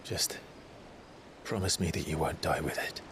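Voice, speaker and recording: An actor playing a brooding, loyal warrior lord in a fantasy video game, earnest and terse, with low-key, formal delivery. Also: male voice, fairly even intonation, unhurried, noisy background. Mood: tender, steadfast. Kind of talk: dramatic fantasy game acting.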